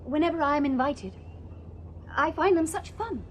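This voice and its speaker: A woman's voice: high voice, animated, moderate pace, a little hiss or hum. An actress playing a prim, coy young Victorian lady in a radio drama.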